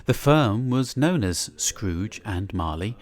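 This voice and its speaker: A man's voice: lowish voice, lively intonation, takes it slowly. A man giving a live dramatic reading of a classic tale in a church, theatrical and savouring each descriptive word. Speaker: reader.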